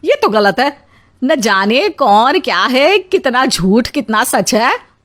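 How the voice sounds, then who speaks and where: A woman's voice: on the high side, sing-song, slow pace, fairly bright tone, full character voice. A woman playing a quarrelsome middle-aged Indian mother-in-law in a dramatized moral story, with a theatrical, complaining delivery. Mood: grumbling.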